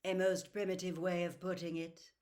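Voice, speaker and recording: A woman's voice: on the low side, little pitch movement, normal speed, darkish tone, close to an everyday voice. A woman voicing an aloof, controlling male villain in an audiobook, with formal, dismissive and imperious phrasing. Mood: cold, imperious. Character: cold, aloof red-eyed male villain, controlling mastermind. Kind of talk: audiobook character voice.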